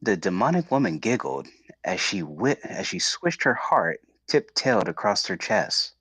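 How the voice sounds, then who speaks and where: Male streamer narrator, mid-pitched, lively delivery, casual reading, dry close mic. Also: moderate pace, slightly rough, dry recording. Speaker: streamer reading a visual novel aloud.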